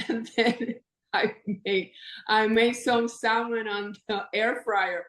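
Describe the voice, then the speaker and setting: A woman's voice: on the high side, steady tone, slow, a bit bright, echoey room. A woman in her fifties with a Puerto Rican accent, a guest chatting freely, laughing often and restarting her sentences. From Puerto Rico. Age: 50s. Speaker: podcast guest. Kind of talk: casual interview chat.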